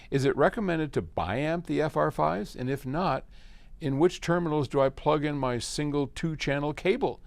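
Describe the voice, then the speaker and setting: A man's voice: medium pitch, creaky, darkish tone, no room sound. A man hosting a casual explanatory talk, answering questions in a folksy, colloquial and emphatic way. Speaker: host answering listener questions.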